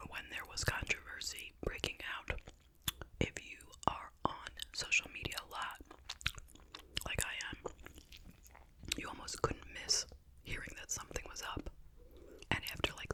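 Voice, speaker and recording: An ASMR host speaking entirely in a breathy, unvoiced whisper, chatting casually and conversationally with little asides. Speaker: ASMR video creator and host. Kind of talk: whispered ASMR chit chat.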